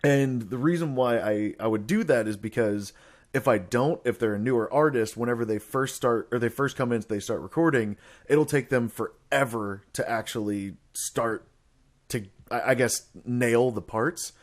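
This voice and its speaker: Young man, podcast host, casual chat, rambling, self-correcting, mid pitch. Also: normal speed. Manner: rambling, restarts sentences, laughs often.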